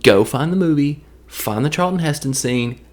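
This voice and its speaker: A man's voice: on the high side, expressive, a little mellow. A man with a Tennessee accent giving a loose, joking, rambling recap of a movie. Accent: Tennessee Southern. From Tennessee, USA. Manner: loose, jokey, drifts into quick impressions. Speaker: movie reviewer.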